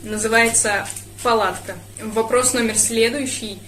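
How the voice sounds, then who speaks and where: A woman's voice: on the high side, fairly even intonation, a little slow, a bit bright, echoey room, slight background noise. A young woman from Russia answering viewer questions in a casual vlog, thoughtful and hesitant, with frequent fillers. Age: young adult. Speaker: speedcuber vlogger.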